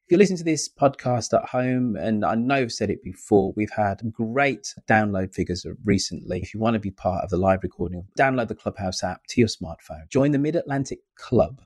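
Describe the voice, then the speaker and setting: A man's voice: on the low side, expressive, brisk, few pauses, a little mellow, breathy edge, no room sound, clean studio sound. A man hosting a live podcast discussion, asking swooping, theatrical rhetorical questions. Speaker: podcast host. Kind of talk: live audio-room discussion.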